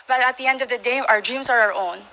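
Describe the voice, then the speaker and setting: A woman's voice: on the high side, fast talker, noisy background. A woman from the Philippines answering pageant interview questions in a poised, polite manner. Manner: poised, polite, adds Filipino po. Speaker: pageant candidate.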